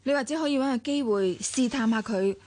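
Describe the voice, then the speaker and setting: A woman's voice: fairly high pitch, brisk, a little mellow, lightly acted. An actress with a Hong Kong Cantonese accent playing a cautious, level-headed female adviser in a radio drama. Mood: thoughtful, cautious.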